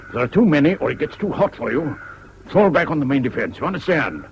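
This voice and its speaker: An actor playing a deluded old-school English General with a clipped, barking military manner in a radio drama. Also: male voice, on the high side, big pitch swings, quick pace. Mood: urgent, conspiratorial. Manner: clipped, barking, repeats himself. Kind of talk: British radio drama acting. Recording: dull, hissy old radio recording.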